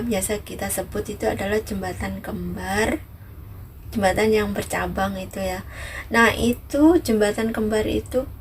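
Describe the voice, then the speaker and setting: Distant, noisy recording of a woman. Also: mid-range voice. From Ngawi, East Java, Indonesia. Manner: repetitive, frequent softening tags seeking agreement. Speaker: vlogger, migrant worker. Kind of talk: casual vlog chat to viewers. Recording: distant mic, noisy ambient background.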